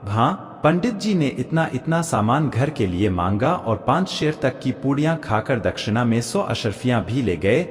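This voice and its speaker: A man's voice: mid-range voice, big pitch swings, normal speed, few pauses, lightly acted. A man voicing a devout, generous merchant's wife in a moral story, respectful and obliging. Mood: matter-of-fact. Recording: long reverb, faint music behind.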